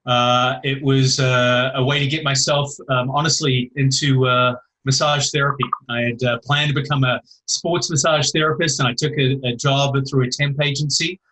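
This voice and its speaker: A middle-aged man with a New Zealand accent, a podcast guest answering questions in a halting way, filling with "uh" and correcting himself. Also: medium pitch, steady tone, sharp tone, poor audio. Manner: halting, many "uh" and self-corrections. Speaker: guest, customer service executive. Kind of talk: casual interview answer.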